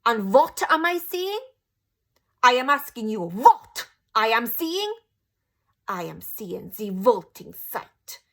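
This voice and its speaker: A woman voicing a cruel, furious female witch leader who shrieks out slow, drawn-out tirades. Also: a little high, very animated, voice pushed up, heavily acted. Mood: screaming.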